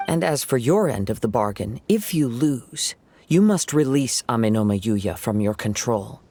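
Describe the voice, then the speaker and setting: A man's voice: medium pitch, lively intonation, unhurried, a little mellow, a little hiss or hum. An actor playing a composed, principled young wandering swordsman in a video game, speaking in an earnest, formal, reasoning manner. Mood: firm, challenging. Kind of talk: dramatic video game voice acting.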